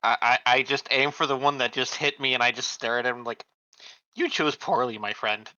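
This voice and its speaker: Very bright, forceful-voiced male player. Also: medium pitch, moderate pace, no room sound, dull audio. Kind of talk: casual tabletop game chat.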